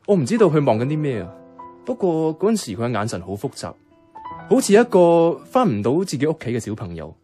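Man acting a reflective radio drama narrator, hong kong cantonese, very lively intonation, faint music behind. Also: on the high side, a little fast. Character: reflective male narrator recalling a quietly troubled woman friend. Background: guitar music.

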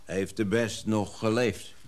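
A man's voice: lowish voice, steady tone, slow pace, darkish tone. An actor playing a cautious, overworked old craftsman in a Dutch radio drama, with short, dry remarks. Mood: curious, sober. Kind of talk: naturalistic Dutch radio play acting.

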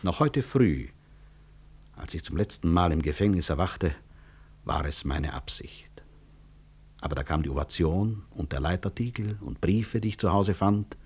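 An actor playing a weary, proud physician-professor in a 1960s German radio drama, husky, reflective and articulate, with wry self-mockery. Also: male voice, deep voice, expressive, a little mellow. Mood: resolved, then deflated. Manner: reflective, articulate, deliberate stage delivery.

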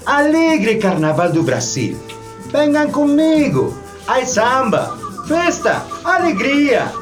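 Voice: sits high, sing-song, takes it slowly, over music, heavily acted. An actor playing a jolly, teasing carnival barker in a radio play, with a showy sing-song delivery and tinged Spanish. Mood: festive, inviting. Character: jolly, teasing Brazilian carnival barker inviting people along. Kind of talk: theatrical radio drama acting.

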